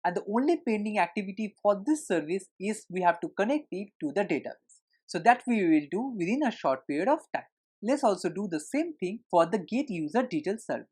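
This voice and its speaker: A woman's voice: medium pitch, expressive, brisk, warm tone, breathy edge. A woman narrating a screencast tutorial as an instructor, explaining things step by step in an instructional way.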